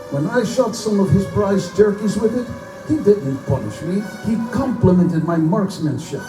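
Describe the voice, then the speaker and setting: A man playing a courtly, boastful Russian general obsessed with big-game hunting, in a theatrical live reading of pulp fiction. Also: sits high. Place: bookstore live performance before microphone. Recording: echoey live room, distant mic, music underneath.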